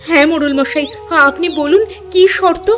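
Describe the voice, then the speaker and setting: A woman's voice: high-pitched, fairly even intonation, moderate pace, lightly acted. A woman voicing a poor village mother in a cartoon, speaking in a plaintive, respectful and dramatic way. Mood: anxious. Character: poor, pleading village mother, abandoned wife. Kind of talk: Bengali cartoon voice acting. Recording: loud background music under the voice.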